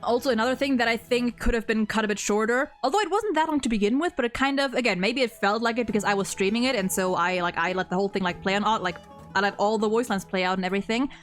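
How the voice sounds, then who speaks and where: A woman in a casual chat reviewing a game story, talking in an animated, rambling way with many stammered repeats. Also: fairly high pitch, fast, soft background music. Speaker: streamer and video co-host.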